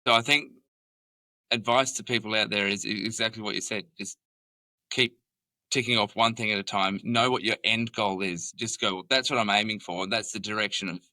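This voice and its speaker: A man's voice: fairly low pitch, bright, forceful tone, dry recording. A man hosting a casual podcast as the company boss, handing out advice on goals in a coaching way.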